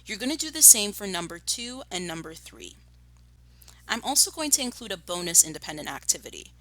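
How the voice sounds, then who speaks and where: Bright-voiced woman teacher reading aloud. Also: mid-range voice, sing-song, fairly quick. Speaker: primary school teacher. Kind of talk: storybook read-aloud and lesson instruction.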